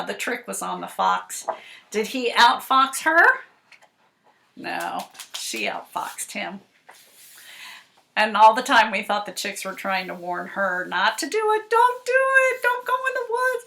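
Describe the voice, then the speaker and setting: A woman's voice: mid-range voice, creaky, bright, forceful tone. A woman librarian talking expressively to children about a picture book, putting questions to them and responding as if they answered. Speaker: school librarian reading to children. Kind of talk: animated picture-book read-aloud and discussion for children.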